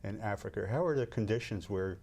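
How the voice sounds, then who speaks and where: A man's voice: lowish voice, a little fast, gravelly, darkish tone. A man hosting a talk show, interviewing a guest with friendly, rambling questions.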